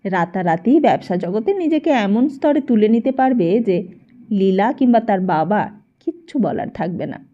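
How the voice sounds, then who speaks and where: A woman's voice: mid-range voice, animated, a little slow, highs cut off. A woman reading a literary short story aloud as scripted audiobook narration.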